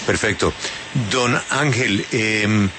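A man's voice: on the low side, slow, pushed and bright, slight background noise, low-quality recording. A male radio host interviewing a guest, asking short, direct questions. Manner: brief prompts, direct questions.

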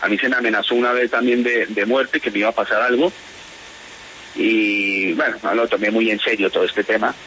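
A man from Spain who speaks with a Bogotá accent, his voice bright and forward. He talks haltingly, restarting and repeating words as he goes. Faint hiss sits under the line. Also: on the low side, fairly even intonation, poor audio. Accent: Bogotá Colombian Spanish. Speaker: interviewee, whistleblower. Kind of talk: phone interview on radio.

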